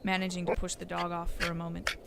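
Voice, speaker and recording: A woman's voice: lowish voice, completely flat delivery, quick pace, vocal fry, a crowd in the background. A woman reading scripted storybook narration for an audio drama, on a noisy recording.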